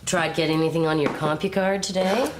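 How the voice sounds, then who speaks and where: A woman's voice: low-pitched, fairly even intonation, quick pace, noisy background, natural voice. An actress playing a cynical, unsurprised woman friend who talks in a dry, matter-of-fact way. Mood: grim. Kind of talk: radio drama.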